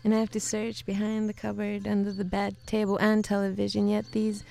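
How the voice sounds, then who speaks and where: A woman from Sweden with a Swedish accent, chatting casually as a studio guest and then reading her poetry slowly and deliberately. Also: mid-range voice, completely flat delivery, gravelly, dark tone, soft background music. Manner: laughs easily, reads poetry slowly and deliberately. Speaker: guest poet. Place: radio studio.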